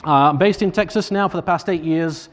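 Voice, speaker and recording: A man with a Northern English accent giving an informal, somewhat halting conference keynote as a charity partnerships manager. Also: on the high side, a little hiss or hum. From Northern England. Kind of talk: conference keynote speech to an audience. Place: large conference room, on stage. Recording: echoey hall reverb.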